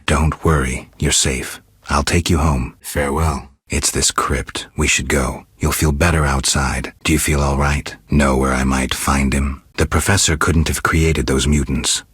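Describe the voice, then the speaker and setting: A man voicing a stoic, world-weary monster hunter in a video game, terse and deadpan, with a gravelly, husky low voice. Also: no echo, studio quality, heavily acted. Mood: reassuring. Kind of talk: video game dialogue lines.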